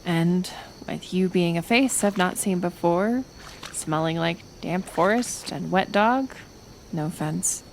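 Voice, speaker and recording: Woman acting a slow-spoken, gentle healer woman. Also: lowish voice, vocal fry, a little hiss or hum. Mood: curious, dry. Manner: gentle, soothing, hushed and halting, often slipping into whispers. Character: kind, stubbornly determined woman healer in a secluded cottage. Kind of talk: intimate ASMR roleplay acting.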